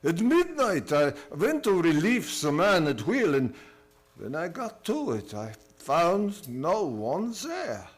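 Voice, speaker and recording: A man's voice: fairly high pitch, wildly swooping pitch, slow pace, husky, full character voice. An actor playing a grim, increasingly fearful ship's captain, reading his log in clipped, solemn phrases in old-time radio style. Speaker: stage and radio theater actor.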